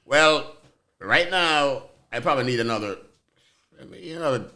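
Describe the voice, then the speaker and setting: A man's voice: medium pitch, lively intonation, moderate pace, bright, forceful tone. A man from Jamaica, a podcast guest in casual chat who is easygoing, laughs often and lets his sentences trail off. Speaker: guest, former mail carrier.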